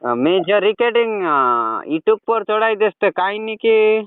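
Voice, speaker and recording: A man's voice: high-pitched, lively intonation, moderate pace, clean tone. A man in a casual phone conversation, giving brief replies and short questions, on a narrowband call recording. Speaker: caller who records for his channel.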